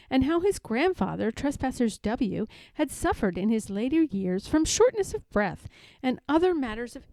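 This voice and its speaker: A woman's voice: a little high, mellow, dry recording. A woman reading a children's storybook aloud as a narrator. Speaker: storybook reader.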